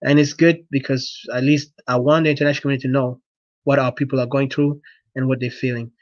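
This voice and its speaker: A man's voice: mid-range voice, little pitch movement, fast talker, no room sound, highs cut off. A man from South Sudan with a South Sudanese accent, a non-native English speaker giving an earnest, exhorting political address off the cuff, often repeating himself. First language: not English. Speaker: activist speaker addressing supporters. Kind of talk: impassioned political address, unscripted.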